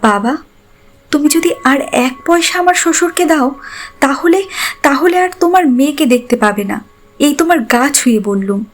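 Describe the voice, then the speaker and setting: Proud, hurt young daughter, high-voiced, a woman acting. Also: expressive, normal speed. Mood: resolute. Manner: earnest, emotional. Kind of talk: audio story acting.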